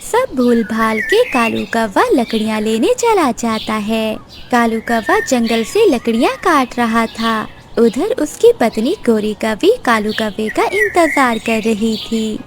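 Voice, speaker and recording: A woman narrates a children's story from a script. Her voice is high and lilting, taken at a slow pace. Music plays underneath. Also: big pitch swings, background noise.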